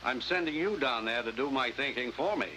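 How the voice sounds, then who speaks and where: An actor playing a brisk, authoritative commissioner in a 1950s spy drama, clipped and urgent. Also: male voice, medium pitch, animated, bright, forceful tone. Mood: urgent, commanding. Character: brisk, commanding male spy agency commissioner. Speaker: actor in a supporting role. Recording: faintly noisy vintage 1950s broadcast recording.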